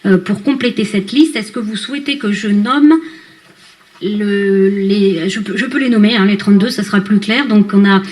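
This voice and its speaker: A woman with a medium-pitched voice presents business at a town council meeting. She explains procedure in a formal yet unscripted way, as a local official addressing colleagues. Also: moderate pace, darkish tone.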